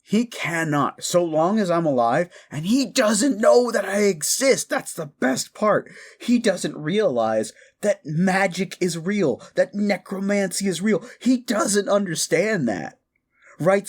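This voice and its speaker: Guy doing a chatty excitable necromancer for a comedy roleplay, kinda high voice, super up and down, rambles and stammers and corrects himself. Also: slow pace, husky, exaggerated voice. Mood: gleeful, incredulous. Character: giddy, mischievous male necromancer, chatty and gleefully rambling. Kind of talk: comedic audio roleplay addressed to the listener.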